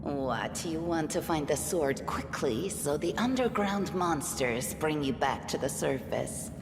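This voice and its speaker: Game role: grave, proud mongrel tribeswoman, low voice, slow delivery, stern, reverberant hall. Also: expressive. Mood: curt, stern. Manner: solemn, measured, stern. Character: stern, fatalistic female mongrel underground tribeswoman, solemn and proud. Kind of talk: video game voice acting. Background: background music.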